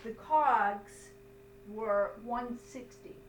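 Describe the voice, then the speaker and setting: Slow-paced woman teaching in an echoey room. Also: fairly high pitch. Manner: didactic, emphatic, checks understanding with "okay". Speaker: accounting instructor. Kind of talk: step-by-step lesson explanation. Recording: roomy, distant mic, faint hum noise.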